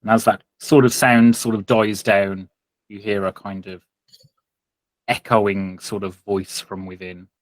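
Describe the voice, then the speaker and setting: A man's voice: fairly low pitch, flat delivery, measured pace, no room sound. A British man hosting and narrating a tabletop roleplaying game as game master, feeling his way through sentences with self-corrections. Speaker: game master and podcast host.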